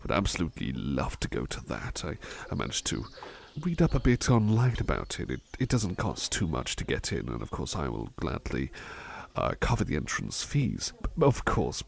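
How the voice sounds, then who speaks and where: A man playing a devoted, polite butler in an ASMR roleplay, speaking tenderly and hesitantly, with soft reassurance. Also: rumbling low, wildly swooping pitch, moderate pace, vocal fry, heavily acted. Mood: attentive, courteous. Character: devoted, gentle, polite male butler companion.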